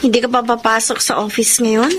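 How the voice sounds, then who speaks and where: A woman's voice: fairly high pitch, little pitch movement, fairly quick, a little hiss or hum, natural voice. A woman playing a devoted, respectful grown daughter in a radio drama. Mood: mild concern.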